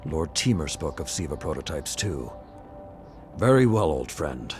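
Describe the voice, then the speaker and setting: An older man acting as a grave, steadfast veteran warrior lord in a cinematic game, speaking in a measured, formal way. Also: a bass voice, sing-song, soft background music, full character voice. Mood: grave, resolute.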